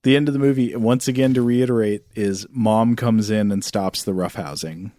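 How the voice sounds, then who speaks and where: A man's voice: lowish voice, moderate pace, mellow, no room sound. A male podcast co-host talking in a conversational way, close to the microphone. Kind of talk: casual chat, scripted ad reads and listener mail.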